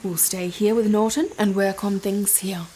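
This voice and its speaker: A woman playing a cautious, considerate ally in an audio drama, speaking in a measured way. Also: mid-range voice, fairly even intonation, dark tone, slight background noise, natural voice. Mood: cautious, gentle.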